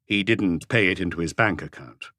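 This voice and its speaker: A man voicing a calm, dogged police chief inspector in an audiobook, measured and laconic. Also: deep voice, no echo, studio quality. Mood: probing. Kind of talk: audiobook character voice.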